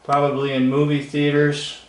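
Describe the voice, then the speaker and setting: A man giving casual, unscripted commentary in a halting, musing manner. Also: on the high side, completely flat delivery. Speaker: video commentator. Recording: echoey room.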